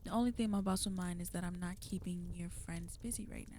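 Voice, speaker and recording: Woman doing a flirty bossy villain lady for asmr roleplay, lowish voice, smug n teasing, bit of noise behind her. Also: a little fast, dark tone, lightly acted. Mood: flirty, coaxing. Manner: teasing, commanding, intimate. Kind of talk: ASMR roleplay, spoken to the listener.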